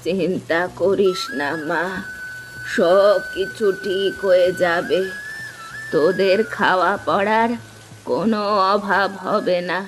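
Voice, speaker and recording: A woman playing a kindly old village woman in an acted story, consoling and reassuring in a drawn-out way. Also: lowish voice, low-quality recording. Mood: soothing, assured. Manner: slow, consoling, drawn-out delivery. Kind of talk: acted Bengali moral story dialogue. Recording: music behind the voice.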